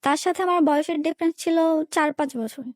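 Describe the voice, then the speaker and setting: High-pitched young Bangladeshi woman telling her story. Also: brisk, dry recording, studio quality. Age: young adult. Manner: colloquial, recounts dialogue turn by turn. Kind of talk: personal storytelling in a radio interview.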